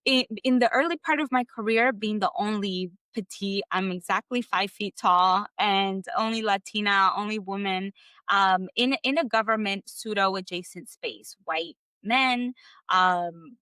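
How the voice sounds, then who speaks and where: Bright-voiced woman, eager storyteller. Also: mid-range voice, unhurried, clean tone, no room sound. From United States. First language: Spanish. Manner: animated storytelling with many fillers and restarts. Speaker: guest, clarity coach. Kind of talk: conversational interview.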